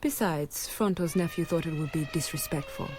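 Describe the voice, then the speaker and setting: A dry, worldly snake dancer in a radio drama. Her voice sits on the low side, and she talks in a teasing, matter-of-fact way. A faint hiss runs under the recording. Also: very animated, moderate pace, a little mellow. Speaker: circus performer.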